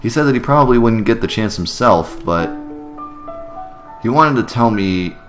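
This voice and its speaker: A man voicing a gentle, resigned widowed mother who works as a caretaker in a video game. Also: mid-range voice, expressive, normal speed, voice pushed up, lightly acted. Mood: wistful. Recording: music behind the voice.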